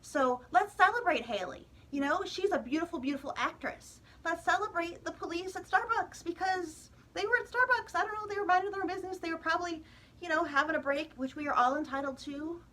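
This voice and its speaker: A woman's voice: extremely high pitch, fairly quick, pushed and bright. An American woman vlogger giving a casual opinion monologue to viewers, chatty and emphatic with exasperated asides.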